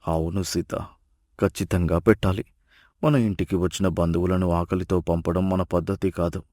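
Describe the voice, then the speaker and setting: A man voicing a poor, kind and self-sacrificing village husband in a moral story, earnest and gentle. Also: low voice, steady tone, moderate pace, dry recording, natural voice. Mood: earnest, reassuring. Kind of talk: storybook dialogue acting.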